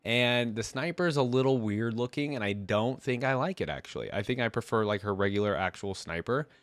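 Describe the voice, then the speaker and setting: A man's voice: medium pitch, expressive, a little fast, no echo. A man with a congested, head-cold voice giving casual reaction commentary as a video host, thinking aloud in informal slang.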